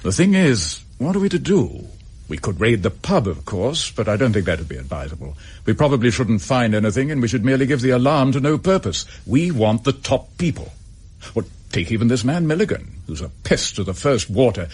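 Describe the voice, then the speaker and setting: Clipped english police chief inspector, husky, lively up and down voice, radio play, all business. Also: male voice, mid-range voice, a little fast. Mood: frustrated. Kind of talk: radio drama dialogue.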